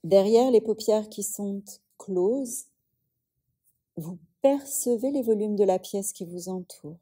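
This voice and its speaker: A woman yoga teacher leading a guided relaxation in a soothing, unhurried way, leaving long pauses between phrases. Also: medium pitch, mellow, husky.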